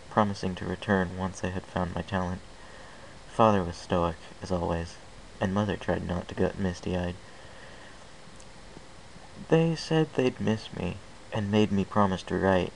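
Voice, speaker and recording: A man's voice: sits low, creaky, a little hiss or hum, poor audio. A man reading a fan fiction story aloud in the first person, in an earnest, plain way with small restarts.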